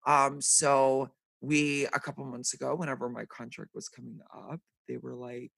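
A man in his thirties hosting a podcast, chatting casually with lots of fillers, his voice deeper and raspier than usual today. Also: flat delivery, normal speed, fairly bright tone, dry recording. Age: 30s. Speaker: podcast host. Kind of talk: casual chat.

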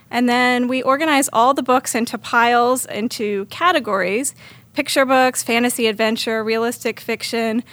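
A woman's voice: a little high, fairly bright tone, no echo. A woman answering as an interview guest, explaining things conversationally as an editor.

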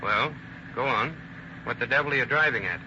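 A man's voice: mid-range voice, a little fast, natural voice. An actor playing a blunt, baffled, tired working man in a 1930s radio drama. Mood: exasperated. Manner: blunt, exasperated, colloquial. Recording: muffled, noisy old radio recording.

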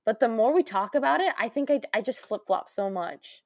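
A young woman from the Midwest with a fairly deep voice, co-hosting a podcast in casual, giggly chat. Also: lively intonation, fairly quick, dry recording, clean studio sound. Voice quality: deeper voice. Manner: giggly, lots of fillers. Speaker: podcast co-host.

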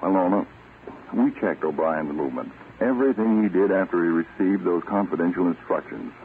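A stern American government spy-catcher in a 1940s radio play. His voice is very low, deliberate and self-assured. The old recording is thin and narrow, as if through a small speaker. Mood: grave, explaining. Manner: deliberate, authoritative, explanatory. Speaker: radio drama actor. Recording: muffled, tinny, noisy old radio recording.

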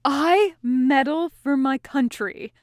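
A dramatic male champion of a fantasy tale acted out by a woman, whiny and self-important. The pitch rides high and the delivery is drawn out, with close, dry sound. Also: slow pace, dry recording. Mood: passionate, indignant. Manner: passionate, self-important, whining.

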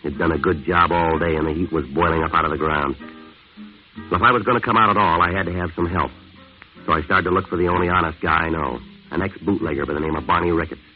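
Man playing a fast, low, deadpan noir antihero. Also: natural voice. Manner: deadpan, clipped, sardonic. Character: hard-boiled, wisecracking male jazz-age antihero, world-weary and terse. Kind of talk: 1950s radio noir narration and acting. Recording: tinny, band-limited vintage radio recording.

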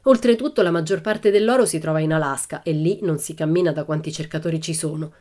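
A woman's voice: low-pitched, fast, darkish tone, lightly acted. A woman voicing a bold, cheerful little red-haired girl who tells breezy tall tales in a storybook reading.

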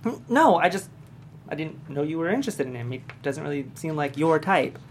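A woman's voice: low-pitched, big pitch swings, brisk, slight background noise. A woman playing a playful, theatrical teenage boy, the loyal best friend, in a script table read. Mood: thrown, dramatic.

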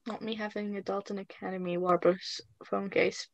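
A woman's voice: medium pitch, little pitch movement, normal speed, vocal fry, dry recording, muffled recording. A woman chatting casually with a co-host about favorite songs, often giggling. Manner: giggly, breaks into singing lines. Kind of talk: casual fan chat.

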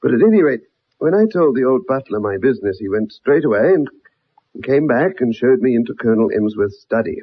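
Earnest, husky ex-soldier. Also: male voice, mid-range voice, lively intonation, brisk. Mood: troubled, recounting. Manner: earnest, polite but insistent. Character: earnest, persistent young ex-soldier, troubled loyal friend. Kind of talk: 1960s radio drama acting, first-person narration. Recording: muffled old radio broadcast recording.

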